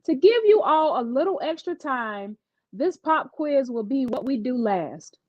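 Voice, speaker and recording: A woman puts on a kindly schoolteacher from a storybook, announcing to the class in a singsong. The voice is high and lively, recorded dry. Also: a little slow, dry recording, muffled recording, exaggerated voice. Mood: cheerful, kind. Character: kind, accommodating female schoolteacher addressing her class.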